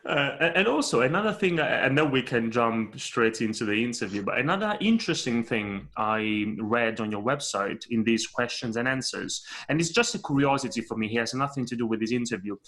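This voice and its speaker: A man's voice: medium pitch, fairly bright tone. A man hosting a podcast interview, enthusiastic, often restarting words and laughing along. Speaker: podcast host. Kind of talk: casual interview.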